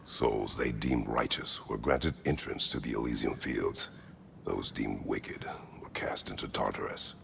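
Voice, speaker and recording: An actor playing a stern, brooding, battle-worn god of war in a video game, with a gravelly growl and terse, grave delivery. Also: male voice, rumbling low, measured pace, fairly bright tone, slight background noise, heavily acted. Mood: grim. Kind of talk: dramatic video game acting.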